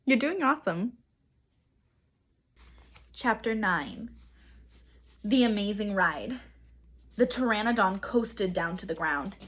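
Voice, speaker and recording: Female storybook narrator, teacherly and expressive, husky mid-range voice with dramatic emphasis. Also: mid-range voice. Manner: dramatic emphasis, pauses to quiz listeners. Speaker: read-aloud teacher.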